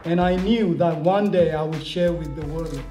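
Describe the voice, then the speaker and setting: A man reads first-person memoir lines in a measured, declamatory way, his voice notably high. The echo is roomy and soft music plays underneath. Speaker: narrator reading a memoir. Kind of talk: scripted dramatic narration. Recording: roomy voice-over with music behind.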